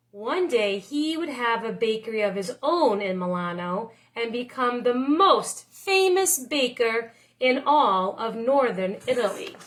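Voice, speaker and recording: A woman's voice: on the high side, a bit bright. A woman narrating a children's picture book expressively, like a teacher reading aloud.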